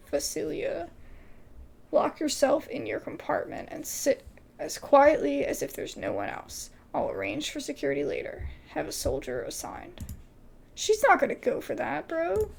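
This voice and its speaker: A woman hosting a casual gaming video, reading game dialogue aloud haltingly and adding her own asides. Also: sits high, gravelly, slight background noise.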